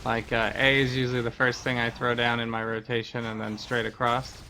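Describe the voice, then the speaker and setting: A man's voice: mid-range voice, flat delivery, fairly quick, pushed and bright, a little hiss or hum, low-quality recording. A man giving casual gameplay commentary as a streamer, explaining things in a conversational way. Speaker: game streamer.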